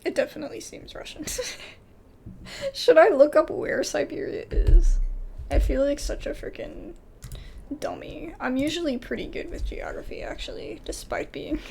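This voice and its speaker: A high, very lively American woman hosting a gaming video in casual, chatty style. She thinks out loud in a giggly way, with vocal fry creeping in. There is some background noise behind her. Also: moderate pace. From United States. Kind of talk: casual let's play chat and reading game text aloud.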